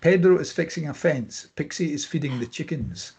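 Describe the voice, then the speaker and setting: Husky man reading stage directions. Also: a little high, animated, moderate pace, a little mellow. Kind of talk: scripted narration at a screenplay table read.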